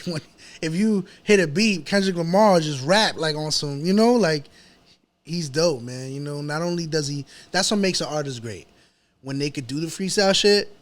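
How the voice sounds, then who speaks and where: A man, a rapper chatting casually and informally as a guest, with plenty of slang. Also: on the high side. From New York City, Manhattan. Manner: slangy, informal, often swearing. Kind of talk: casual chat.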